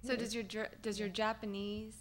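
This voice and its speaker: A woman's voice: mid-range voice, little pitch movement, moderate pace. A woman in the audience asking a long, hedged question from the floor. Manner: rambling, hedged with fillers.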